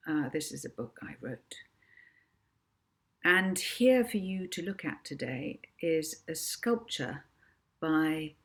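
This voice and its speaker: A woman author narrating her own children's book, with casual asides to the listener. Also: low-pitched.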